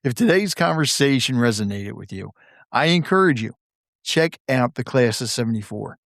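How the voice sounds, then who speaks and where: A man's voice: mid-range voice, lively intonation, normal speed, creaky, fairly bright tone, dry recording. A man hosting a podcast, eager and upbeat with a guest, turning thoughtful as he wraps up. His voice sits in a middle range and is recorded close and dry, with no room sound around it. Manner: eager, enthusiastic, reflective when wrapping up. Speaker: podcast host. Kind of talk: interview and closing monologue.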